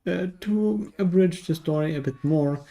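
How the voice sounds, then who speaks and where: A man narrates a scripted game review with dry sarcasm. His voice sits slightly high for a man and has a mellow, relaxed tone. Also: measured pace, creaky. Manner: dry, sardonic, sweary.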